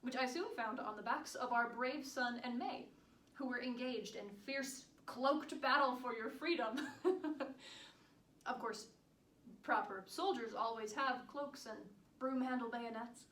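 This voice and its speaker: A woman's voice: a little high, a little slow, fairly bright tone. A woman performing a theatrical period monologue as a devoted, proud country wife, with formal old-fashioned diction. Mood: fond, amused. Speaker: stage actress performing monologues. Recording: echoey room, distant mic, background noise.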